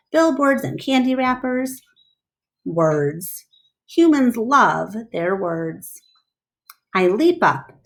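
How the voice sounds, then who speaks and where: A woman reading a storybook aloud expressively, like a teacher, pausing to explain things to listeners. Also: medium pitch, low-quality recording.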